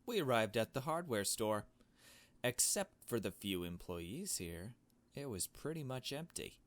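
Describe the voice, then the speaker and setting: A man's voice: mid-range voice, very animated, unhurried. A man doing a let's play, reading a visual novel aloud and adding casual, blunt asides. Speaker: gaming video host.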